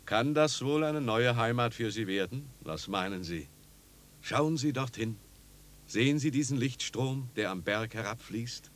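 A man's voice: medium pitch, unhurried, close to an everyday voice. An actor playing a kindly, steadfast village pastor in a 1950s radio drama, fatherly and earnest. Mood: cheerful, proud. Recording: old radio recording with hiss and hum.

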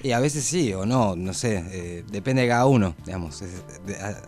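A man's voice: fairly low pitch, lively intonation, moderate pace, music in the background. A man with an Argentine accent chats casually, feeling his way tentatively through his thoughts. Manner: hesitant, tentative phrasing. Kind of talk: casual conversation.

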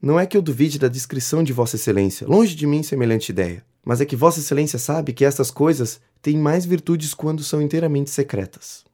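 An actor playing a pompous, flattering inventor who petitions a politician, grandiloquent and effusive in a period comedy audio drama. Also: male voice, on the high side, brisk, few pauses. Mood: proud, grandiose. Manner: grandiloquent, effusive, theatrically indignant. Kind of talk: audio drama acting of a 19th-century comedy.

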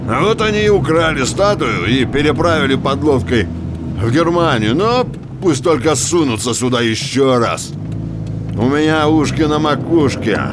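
A man's voice: mid-range voice, natural voice. An actor playing a talkative small-town man, a folksy, vigilant busybody telling stories emphatically in an audio drama, often adding tag questions. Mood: indignant, conspiratorial. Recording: clear car noise behind the voice. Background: car engine, outdoor vehicle ambience.

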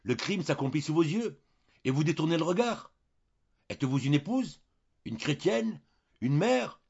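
A man's voice: fairly low pitch, big pitch swings, normal speed, darkish tone, slightly rough, muffled recording. Man acting a wrathful, commanding priest. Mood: furious, accusing. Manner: vehement, moralizing, commanding, rhetorical. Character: stern, fanatical priest, wrathful and accusing.

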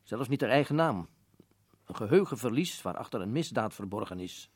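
A man's voice: medium pitch, expressive, moderate pace, darkish tone, slightly rough, no echo. A man playing a stern prison governor. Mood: firm. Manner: firm, matter-of-fact. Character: stern older male prison governor, a colonel. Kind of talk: radio play acting.

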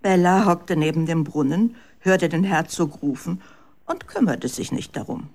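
A woman's voice: on the low side, a little slow, a little mellow. A woman narrating a children's radio drama as a scripted storyteller.

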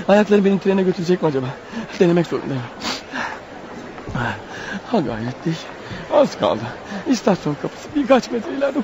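A high-pitched, desperate wounded fugitive. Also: male voice, a little mellow, a little hiss or hum. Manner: breathless, urgent, muttering to himself. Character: desperate wounded fugitive man, exhausted, racing to his wife. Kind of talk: radio theatre acting, inner monologue. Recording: muffled radio drama recording. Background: music underneath.

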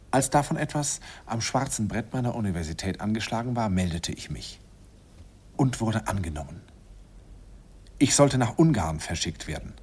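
A wistful middle-aged narrator. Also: male voice, deep voice. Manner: wistful, gently self-mocking. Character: man in his forties recalling his student days. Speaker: narrator looking back on his youth. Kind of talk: radio drama narration.

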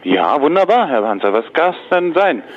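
A man's voice: a little high, big pitch swings, moderate pace. A man taking a business call, speaking in a wordy, hedging, politely dismissive way. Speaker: dubbing studio employee taking a call. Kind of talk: phone conversation. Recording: tinny narrow-band phone line.

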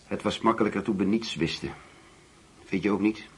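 A man's voice: on the low side, fairly quick, fairly bright tone, husky, exaggerated voice. An actor playing a bitter, disillusioned middle-aged father in a Dutch radio play, blunt and sceptical.

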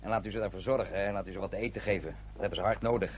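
An actor in a radio play as a genial, paternal German army sergeant, chummy and coaxing. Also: male voice, on the low side, steady tone, racing, close to an everyday voice. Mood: concerned, coaxing. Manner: chummy, coaxing, talks in reassuring little questions. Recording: noisy, dull old radio play recording.

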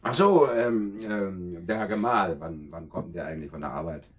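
An actor playing a menacing thug in a radio crime play, mockingly polite and given to barking orders. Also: male voice, low voice, lively intonation, normal speed, slightly rough, highs cut off. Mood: sly, mocking. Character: menacing, jovially mocking male thug intruder. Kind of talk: radio crime drama acting.

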